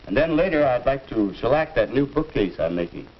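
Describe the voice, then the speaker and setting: A man's voice: mid-range voice, animated, brisk, slight background noise, lightly acted. An easygoing 1950s father acted by a man is heard a little way from the microphone on a muffled film track. His intonation rises and falls freely. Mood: easygoing, content. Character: easygoing father who enjoys his woodworking hobby. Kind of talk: 1950 instructional film acting. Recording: muffled old film soundtrack, distant microphone.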